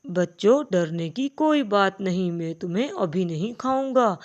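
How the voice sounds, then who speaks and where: A woman's voice: medium pitch, expressive, measured pace, dull audio, lightly acted. Woman doing a threatening cartoon hawk, a bossy predator after little birds. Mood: menacing. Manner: commanding, threatening. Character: menacing male hawk who hunts little birds.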